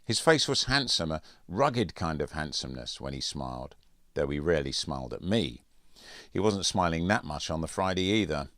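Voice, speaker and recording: A man reading his own first-person literary story as an audiobook, in a measured, wry storytelling manner. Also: extremely low pitch, big pitch swings, a bit bright, no room sound, studio quality.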